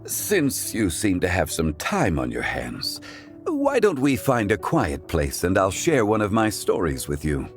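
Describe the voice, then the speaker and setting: An ancient, dignified guardian of contracts from a video game. The voice is low and unhurried, with expressive swings in pitch. The delivery is formal and contemplative, with music playing underneath. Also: male voice, full character voice. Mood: warm, courteous.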